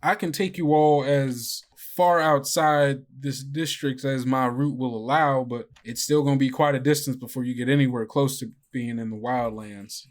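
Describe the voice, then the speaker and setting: A man's voice: mid-range voice, fairly even intonation, moderate pace, few pauses, natural voice. Man playing a resigned bus driver, matter-of-fact. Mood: firm, resigned.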